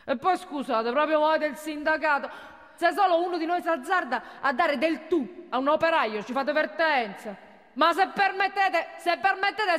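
An actress playing a condescending, defensive corporate boss arguing sarcastically in a theatrical radio monologue. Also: female voice, sits high, a bit bright. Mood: combative. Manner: condescending, sarcastic, rhetorical questions. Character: haughty, defensive female corporate executive, condescending and combative. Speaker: company managing director addressing union members. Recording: long hall reverb of a stage.

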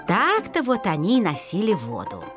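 A woman narrating a children's folk tale expressively, in a singsong folksy way with drawn-out repeated words. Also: medium pitch, wildly swooping pitch, over loud music. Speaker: storyteller.

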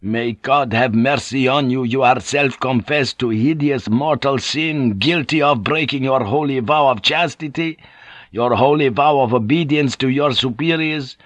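A man's voice: medium pitch, a bit bright, dry recording, voice pushed up, close to an everyday voice. A man reading an audiobook, voicing a zealous, wrathful priest, a stern superior who commands and condemns. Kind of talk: dramatic audiobook character acting.

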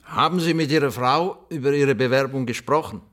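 A man's voice: medium pitch, a little fast, fairly bright tone, lightly acted. Man acting a formal, probing official interviewer. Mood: insistent, probing. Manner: terse, persistent, formal questioning. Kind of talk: naturalistic radio drama acting.

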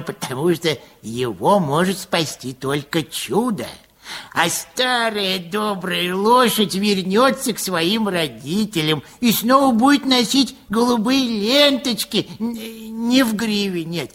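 A male actor playing a kindly, eccentric pharmacist who schemes excitedly, stammering and repeating himself in an old radio play. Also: sits high, heavily acted. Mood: reassuring. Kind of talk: 1960s Soviet radio play acting. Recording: old radio drama with music.

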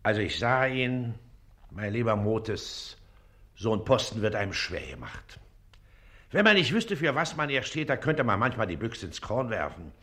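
An actor playing a self-important, bullying local official with a pompous, commanding manner in a radio play. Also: male voice, on the low side, sing-song, moderate pace, fairly bright tone. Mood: self-pitying, pompous.

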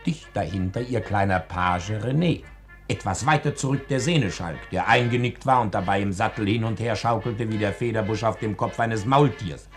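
Man as a wry radio-play narrator, lowish voice, theatrical 1940s storytelling, music behind. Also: moderate pace, natural voice. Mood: wry, relishing. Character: wry male storyteller of a bawdy old tale.

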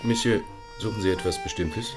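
An actor playing an obliging junk dealer with a deferential, salesy manner. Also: male voice, fairly low pitch, fairly quick, over music, close to an everyday voice. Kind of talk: radio play acting.

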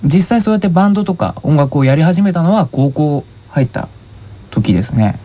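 A man's voice: on the high side, lively intonation, a little fast, mellow, lo-fi sound. A man answering questions casually as a musician guest on a radio show. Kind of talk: casual radio interview chat.

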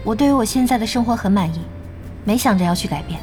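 A woman acting a self-assured young gamer in an audio drama, calm, polite and matter-of-fact. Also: medium pitch, fast, faint music behind, close to an everyday voice. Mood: contented, polite.

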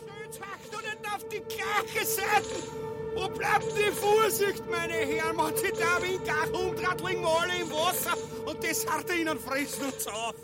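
A man's voice: very high-pitched, fairly even intonation, a little slow, loud music behind the voice. An actor playing a pompous, cowardly, blustering grotesque king in a farcical radio play, declaiming and whining in a Viennese accent. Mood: panicked.

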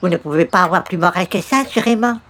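A man's voice: high-pitched, quick pace, a bit bright. A devout, gullible doorstep visitor from a radio comedy. He speaks with fussy, ceremonious politeness and breaks easily into exclamation. Faint noise sits behind the voice. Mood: eager. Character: overly polite, pious, naive male religious door-to-door visitor. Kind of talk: old-time radio comedy acting.

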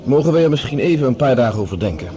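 An actor playing an earnest, polite but probing scientist in formal radio drama dialogue. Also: male voice, medium pitch, expressive, very fast, crowd noise, lightly acted. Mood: polite, puzzled.

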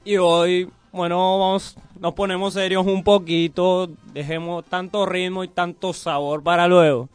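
Smooth-voiced Puerto Rican man, playful. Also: sits high, flat delivery, a little slow, few pauses, no room sound, faint music behind. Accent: Puerto Rican Spanish. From Puerto Rico. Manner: playful, teasing, rambling. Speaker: radio co-host. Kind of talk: joking radio banter and music introduction.

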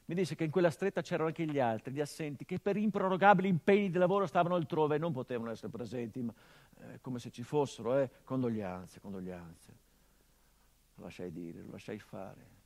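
Male actor as a middle-aged son, bitter and sardonic, mid-range voice, hushed murmurs breaking into impassioned stage outbursts. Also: medium pitch, a little fast, lightly acted. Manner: sardonic, biting. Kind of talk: theatrical monologue.

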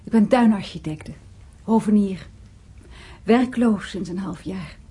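An actress playing a shy, modest garden designer, speaking softly and hesitantly in a naturalistic radio drama. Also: female voice, medium pitch, measured pace, breathy edge. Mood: bashful. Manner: hesitant, tentative.

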